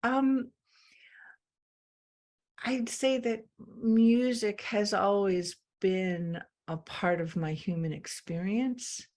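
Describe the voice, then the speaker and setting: A woman from England, a guest in a conversation, speaking reflectively with frequent pauses and ums. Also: medium pitch, darkish tone. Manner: slow, thoughtful, with many pauses and ums. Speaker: interview guest.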